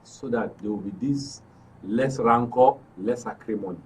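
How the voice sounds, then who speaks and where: A man's voice: medium pitch, moderate pace, husky. A man with a Nigerian accent giving political commentary as a guest, repeating phrases for emphasis. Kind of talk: political discussion. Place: radio and TV studio. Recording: distant mic, faint background noise.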